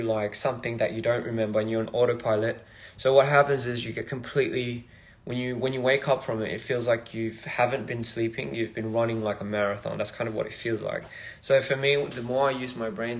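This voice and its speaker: A young man from Australia, a musician chatting casually on a phone livestream in a halting, rambling, soft-spoken way. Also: mid-range voice. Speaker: musician streaming live. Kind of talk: casual live-stream chat.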